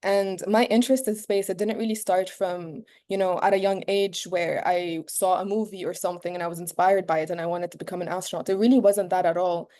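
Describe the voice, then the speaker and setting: Young woman on a video call, dark mellow voice, lots of ums, earnest. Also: on the low side, steady tone, a little fast, hardly any pauses. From Egypt. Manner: warm, earnest, many fillers and restarts. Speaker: guest speaker. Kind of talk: casual talk on a video call.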